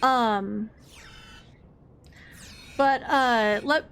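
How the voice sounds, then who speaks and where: A woman's voice: on the high side, drawn-out words, a bit bright, slight background noise. A woman streamer chatting casually, rambling, with lots of fillers and restarts. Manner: rambling, filler-heavy, self-correcting. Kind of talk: casual livestream chat.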